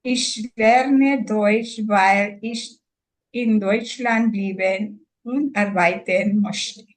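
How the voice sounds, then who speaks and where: Slow, halting Filipino woman in her sixties. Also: medium pitch, steady tone, low-quality recording. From Philippines. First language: Tagalog. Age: 60s. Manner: halting, hesitant learner with many fillers. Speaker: student. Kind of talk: German class self-introduction.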